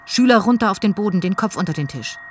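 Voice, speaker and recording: A woman's voice: medium pitch, animated, fast, slight background noise. A woman reading a German voice-over translation of other speakers' words.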